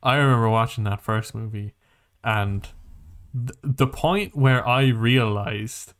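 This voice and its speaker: A male podcast co-host with a medium-pitched voice. He talks in a loose, conversational style, rambling through his points and leaning hard on them, with plenty of casual swearing. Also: takes it slowly, a bit bright, smooth. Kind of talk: casual movie and game chat.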